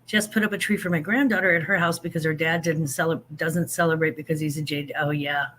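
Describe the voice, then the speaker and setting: An older woman chats on a livestream, rambling from one thought to the next and poking fun at herself as she answers viewers. Her voice is bright and forceful, and she sounds a little stuffy from allergies. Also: sits low, fairly even intonation, quick pace, a little hiss or hum. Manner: chatty, rambling, self-deprecating, reads and answers chat. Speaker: livestream host. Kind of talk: casual livestream chat.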